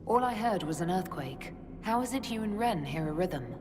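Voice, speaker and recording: An actress playing the composed, inquisitive leader of a fantasy order in a video game, direct and authoritative. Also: female voice, fairly low pitch, lively intonation, normal speed, background noise, close to an everyday voice. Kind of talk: video game cutscene acting. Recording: long cavernous reverb, music underneath.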